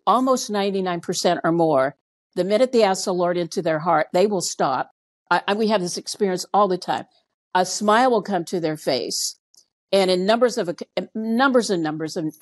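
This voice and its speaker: Woman pastor, 60s, lowish voice, conversational storyteller on a video call, leans on repeated words for emphasis. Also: normal speed. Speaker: pastor, interview guest. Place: indoor room on a video call.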